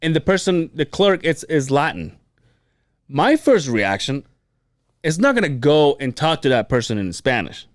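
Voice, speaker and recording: A man co-hosting a podcast in casual chat, telling stories in an animated way, swearing freely and laughing often. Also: fairly high pitch, moderate pace, dry recording, studio quality. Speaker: podcast co-host.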